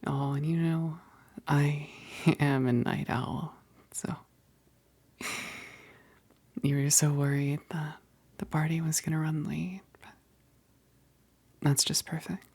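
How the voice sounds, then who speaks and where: A woman playing a teasing, affectionate, wistful vampire best friend in a soft, breathy whispered ASMR roleplay, speaking hesitantly. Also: low voice, steady tone, full character voice. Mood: warm, relaxed. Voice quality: breathy, husky whisper with gravelly edges. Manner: slow, hesitant, punctuated with soft chuckles.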